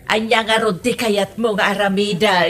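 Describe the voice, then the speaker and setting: A woman playing a stingy, meddling Ilocano mother-in-law in a comedy audio drama, with an Ilocano accent and a husky voice. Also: mid-range voice, steady tone, moderate pace, fairly bright tone, lightly acted. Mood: scolding, stubborn.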